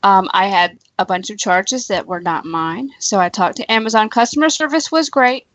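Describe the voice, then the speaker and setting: A woman's voice: mid-range voice, moderate pace, a bit bright, no echo. A woman co-hosting a live talk show, telling a story in an easygoing, matter-of-fact way. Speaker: co-host. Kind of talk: casual chat.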